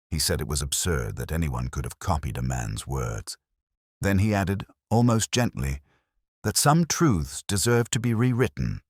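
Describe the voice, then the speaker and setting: A man reads a first-person detective story in an exceptionally deep voice. His delivery is measured and brooding, with plenty of rise and fall in the intonation. The sound is dry, and the style is literary and scripted. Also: no echo. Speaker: narrator.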